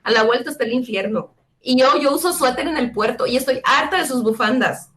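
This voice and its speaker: A woman who grew up in El Salvador, co-hosting a casual political chat with sarcastic jokes and quick restarts. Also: high-pitched, fairly bright tone. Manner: sarcastic, jokey, fast-talking with restarts. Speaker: co-host.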